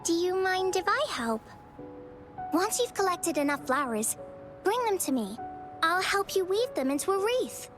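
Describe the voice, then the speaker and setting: A woman playing a gentle, thoughtful young florist in a video game, soft-spoken and patiently explaining. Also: very high voice, sing-song, measured pace, music in the background, exaggerated voice. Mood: calm, kind.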